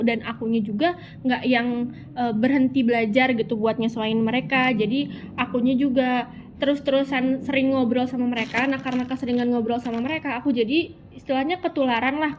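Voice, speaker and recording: A young woman from West Java, a university student, answering interview questions in a chatty, informal way with lots of fillers. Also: on the high side. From West Java (Sundanese region), Indonesia. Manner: chatty, informal Jakarta slang, frequent fillers. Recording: muffled lo-fi audio with faint background noise.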